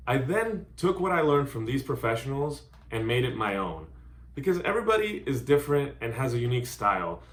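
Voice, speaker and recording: A man in his thirties, born in Hungary, giving an earnest, carefully worded introduction of himself as a DJ and music producer. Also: medium pitch. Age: 30s. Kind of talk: scripted self-introduction to camera. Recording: roomy echo.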